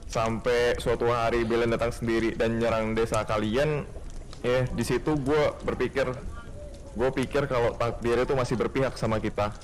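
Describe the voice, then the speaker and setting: A man's voice: mid-range voice, level tone, normal speed, slight background noise, natural voice, poor audio. A man voicing the benevolent soul of an ancient dragon god, telling its story solemnly in a Minecraft roleplay. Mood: earnest, explaining. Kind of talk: dramatic scripted narration in Minecraft roleplay. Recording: music behind.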